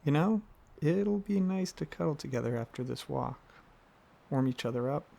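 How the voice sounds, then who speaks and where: A man playing an affectionate, excitable dragon boyfriend in an ASMR roleplay, talking casually and a little haltingly, with a gravelly voice. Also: fairly high pitch, a little hiss or hum. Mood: affectionate, relaxed. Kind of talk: ASMR roleplay, softly addressing the listener as partner.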